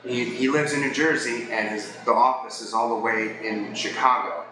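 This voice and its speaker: Male vlogger, mid-pitched, conversational and explanatory, talking to viewers in a very reverberant space with people audible behind. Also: moderate pace. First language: English. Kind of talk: casual advice talk to viewers. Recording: echoey space with crowd chatter behind.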